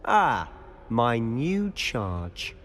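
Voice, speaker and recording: A man's voice: mid-range voice, big pitch swings, drawn-out words, a bit bright, a little hiss or hum, full character voice. A man playing a charming teenage schoolboy wizard in a video game, jaunty and playfully formal, in a reverberant space. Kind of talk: scripted video game dialogue.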